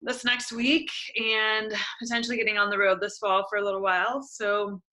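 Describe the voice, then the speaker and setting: A woman hosting an online workshop over a video call, chatty and relaxed with casual fillers. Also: medium pitch, moderate pace. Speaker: workshop host.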